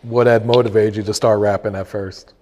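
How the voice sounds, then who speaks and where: A man's voice: on the low side, flat delivery, a little fast, darkish tone, big-room sound. A man asking questions as an interviewer in a casual, conversational style.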